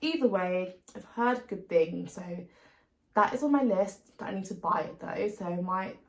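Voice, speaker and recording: A woman's voice: mid-range voice, moderate pace, reverberant, rough recording. A British woman talking casually and chattily as a book vlogger, informal and given to emphatic repetition. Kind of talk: casual chat to camera about reading plans.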